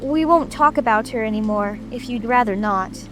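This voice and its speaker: A woman's voice: fairly high pitch, normal speed, natural voice. A woman playing a polite, curious little girl who speaks earnestly and apologetically in a dramatic audiobook. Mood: apologetic. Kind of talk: dramatic audiobook character reading. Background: music, rain ambience.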